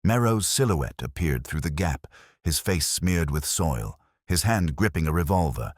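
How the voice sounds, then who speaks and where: Male audiobook narrator, very low pitch, lively intonation, measured dramatic delivery, dry close sound. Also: no echo. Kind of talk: dramatic scripted mystery narration.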